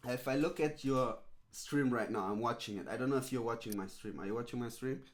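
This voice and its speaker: A man's voice: mid-range voice, a little fast. Rambling streamer man. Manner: rambling, filler-heavy, repeats "right?" and "literally". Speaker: streamer coaching a viewer. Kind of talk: casual live-stream chat.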